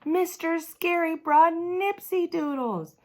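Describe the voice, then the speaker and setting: A woman voicing a brash, excitable little schoolboy in a storybook, with a blunt, emphatic manner. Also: very high voice, takes it slowly, pitched above the performer's own voice. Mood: excited shouting.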